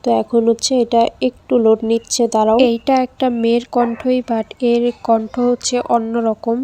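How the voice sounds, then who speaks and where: A woman's voice: on the high side, little pitch movement, normal speed, mellow. A woman narrating a casual step-by-step tech tutorial in an informal, explanatory way, repeating each step as she goes.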